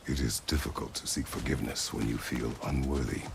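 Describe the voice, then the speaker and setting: A man playing a weary, battle-hardened, stoic warrior in a video game, speaking tersely and solemnly in a gravelly voice. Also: a bass voice, steady tone, fairly quick, background noise, exaggerated voice.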